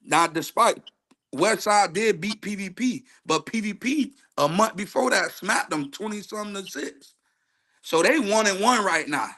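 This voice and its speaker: A man's voice: high voice, expressive, normal speed, pushed and bright. A man speaking as a coach in a casual sports debate, emphatic and slangy, repeating phrases as he makes his case. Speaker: youth football coach, show guest. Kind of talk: casual, argumentative sports chat.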